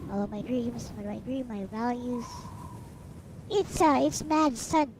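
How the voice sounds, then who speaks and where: A woman's voice: a little high, measured pace, noisy background. A woman giving casual live commentary as she plays a game, thinking aloud and speculating in short fragments. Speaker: streamer playing a visual novel.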